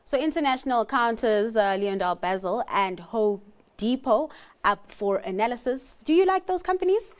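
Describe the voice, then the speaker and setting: A woman with a fairly high voice anchors a business TV segment. She moves the talk along with brisk, scripted segues. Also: animated. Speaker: news anchor. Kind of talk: business TV presenting.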